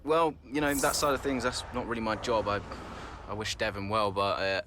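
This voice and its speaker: A man's voice: on the low side, fairly even intonation, fairly quick, lightly acted. A man playing a guarded racing driver in a video game interview, hesitant and noncommittal, with faint noise behind. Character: guarded, self-focused male racing driver giving interview.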